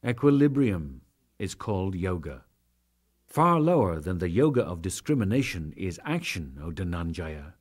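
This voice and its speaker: Male narrator, slow pace, widely swooping intonation, solemn, theatrical, scripted sacred reading. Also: mid-range voice, darkish tone. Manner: solemn, measured, theatrical delivery with archaic diction. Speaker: audiobook narrator.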